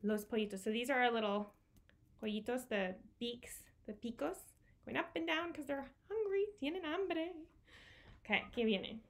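A woman's voice: on the high side, unhurried. A woman in her thirties presenting a bilingual picture-book storytime for young children, expressively explaining and repeating key words. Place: small home room with bookshelves, indoors.

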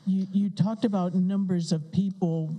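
A woman with a very dark, low voice speaks slowly from the audience at a public meeting. She is hesitant and trips over her words. Her voice comes through dull and muffled. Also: steady tone, breathy edge. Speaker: audience member. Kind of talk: asking a question at a public meeting.

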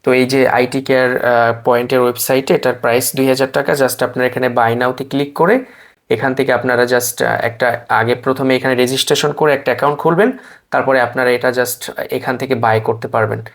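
A man's voice: medium pitch, steady tone, fairly quick. A man with a Bangladeshi accent giving an informal product demonstration to viewers. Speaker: software demonstrator and seller.

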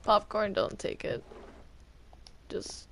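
A woman chatting casually in a game roleplay, with a hesitant, halting way of talking. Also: slight background noise. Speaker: player in a Minecraft roleplay server. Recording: music behind the voice. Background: music.

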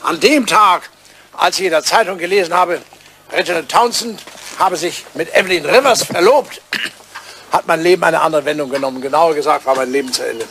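An older man, an actor playing an excitable, wistful old lord in love, theatrical and impulsive, in a radio comedy drama. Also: on the high side, a little fast. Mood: wistful, reminiscing. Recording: old radio play recording, faint noise.

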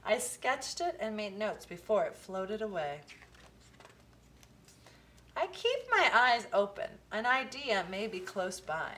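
A woman reading a children's picture book aloud, with expressive, deliberate phrasing. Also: mid-range voice, slight background noise. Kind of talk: storybook read-aloud for children.

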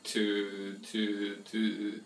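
A man's voice: on the low side, monotone, very slow, slight background noise. Rambling young man in an echoey kitchen. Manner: rambling, stumbling restarts, playful. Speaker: cooking show host. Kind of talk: casual cooking vlog chat. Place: small home kitchen, indoors. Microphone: camera mic from across the room. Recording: echoey kitchen, distant from mic.

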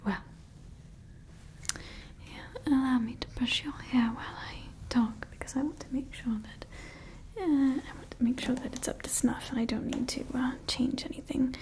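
A woman voicing a scheming female evil scientist who calls herself Mother, in a slow, soft, conspiratorial whisper for ASMR roleplay on a close microphone. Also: high-pitched, steady tone. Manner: slow, intimate, conspiratorial ranting. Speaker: ASMR creator.

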